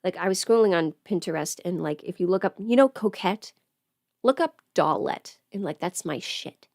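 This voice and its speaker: A woman's voice: fairly low pitch, lively intonation, normal speed, gravelly, a little mellow, dry recording. Chatty woman rambling in a dry close recording. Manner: chatty, rambling, thinking aloud, sometimes playful. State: sick with a cold or illness. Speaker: livestream host and audio performer. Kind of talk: casual makeup livestream chat.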